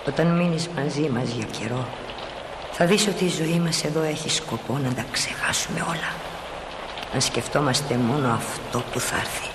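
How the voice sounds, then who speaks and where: An actress playing a dead mother in a stage drama, a mature woman who speaks with patient, measured, gently firm gravity. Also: a bass voice. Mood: firm, solemn. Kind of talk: solemn stage drama acting. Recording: noisy, echoing old drama recording.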